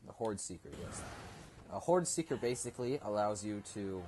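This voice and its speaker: A man's voice: lowish voice, animated, moderate pace, mellow, a little hiss or hum. A young man giving casual gameplay commentary as a gamer host.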